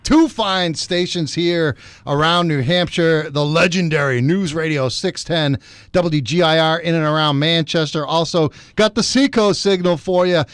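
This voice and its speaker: A man's voice: fairly high pitch, a little slow, a bit bright, smooth, dry recording. A man hosting a sports radio show from the studio, chatty and wry with chuckling asides. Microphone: studio microphone.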